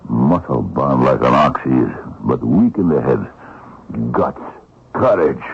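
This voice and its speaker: A bitter, boastful down-and-out thief in an old radio drama. His voice is very low and rough with husky gravel, and it swings widely as he scoffs, bullies and wheedles. The recording is dull and lo-fi. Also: animated, unhurried, full character voice. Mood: scornful, taunting. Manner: taunting, wheedling, muttered asides and scoffing. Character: scheming, bitter Russian vagrant thief, bullying and boastful.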